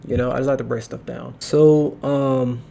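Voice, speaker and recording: A man's voice: mid-range voice, fairly even intonation, normal speed, gravelly, dark tone, a little hiss or hum. A man hosting a reaction video, talking casually and repeating himself, with lots of fillers.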